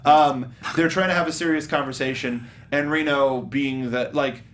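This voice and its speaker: A man hosting a review show, talking casually and haltingly in a geeky, informal way. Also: medium pitch, fairly even intonation, fairly bright tone, slight background noise. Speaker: host of a film review show. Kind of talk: casual panel discussion.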